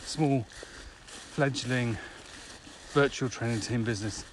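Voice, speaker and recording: A man's voice: mid-range voice, slow. A man co-hosting a podcast in an informal, conversational way. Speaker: podcast co-host. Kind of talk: casual chat. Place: outdoors, walking in the countryside. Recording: outdoor walking recording with ambient noise.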